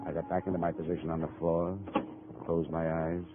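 Male actor as hard-boiled American investigator, deep and terse, 1950 radio show, dull noisy sound. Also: level tone, a little fast, loud music behind the voice, close to an everyday voice. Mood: grim, steady. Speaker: lead actor. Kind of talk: 1950s radio detective acting and first-person narration.

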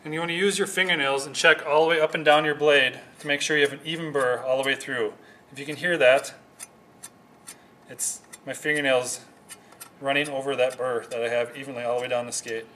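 A young man calmly explaining, step by step, how to sharpen speed skates, pausing now and then to restart a phrase. He is recorded in a large office room with a whiteboard. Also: medium pitch, far from the mic, a little hiss or hum. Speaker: speed skating sharpening instructor. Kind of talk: instructional demonstration. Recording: echoey room, voice from off-mic.

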